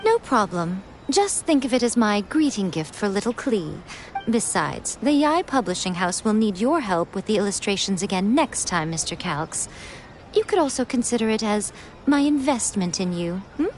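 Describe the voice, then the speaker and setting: A woman's voice: mid-range voice, big pitch swings, moderate pace, slight background noise, natural voice. A woman voicing a sly, teasing lady publisher in a video game, playful, coy and self-assured. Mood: pleased, playful.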